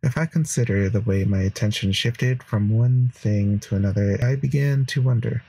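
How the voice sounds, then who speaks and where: A man's voice: on the low side, normal speed, vocal fry, dark tone, husky, no echo. A man voicing an earnest, introspective wandering hero in a visual novel, musing over dreams. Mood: pensive. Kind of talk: visual novel narration and dialogue.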